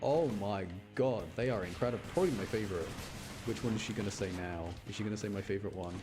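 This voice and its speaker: A man's voice: lowish voice, quick pace, over music. A man giving casual, enthusiastic gameplay commentary in a rambling, slangy way. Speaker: game streamer.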